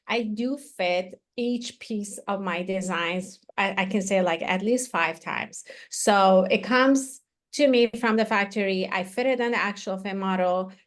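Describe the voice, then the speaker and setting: A woman, talking chattily with many fillers and restarts as an interview guest. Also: mid-range voice. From Iran. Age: 40s. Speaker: guest, activewear founder. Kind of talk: casual interview talk.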